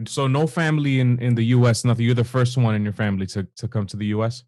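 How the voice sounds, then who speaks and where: A man's voice: mid-range voice, fast talker, no echo, studio quality. A man hosting a baseball podcast, talking casually and loosely, with fillers. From United States. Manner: relaxed, conversational, with fillers. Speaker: podcast co-host.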